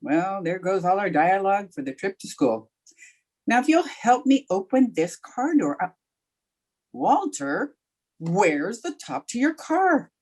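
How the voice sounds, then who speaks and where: A woman playing a quick-witted high school English teacher who wisecracks dryly in old-time radio comedy style. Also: sing-song, a little mellow, slightly rough. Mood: dry sarcasm. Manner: dry, sardonic wisecracking.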